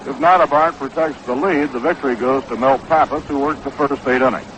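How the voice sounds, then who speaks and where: An American man calling baseball play-by-play in 1960s radio style, with a measured, unhurried, factual delivery. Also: medium pitch, a bit bright. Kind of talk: 1960s live radio sports commentary. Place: ballpark broadcast booth. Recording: dull, noisy 1960s AM radio broadcast.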